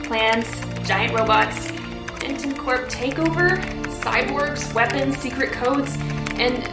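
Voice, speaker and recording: Woman playing a creaky-voiced cool hacker. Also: high voice, takes it slowly. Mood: focused. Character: cool, capable female hacker, dryly matter-of-fact. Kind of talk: audio drama acting. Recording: roomy echo, music underneath.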